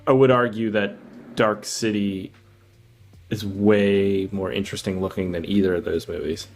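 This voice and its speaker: A man's voice: on the low side, fairly even intonation, normal speed, a little mellow, soft background music. A man co-hosting a podcast, talking casually about film in an informal, meandering way.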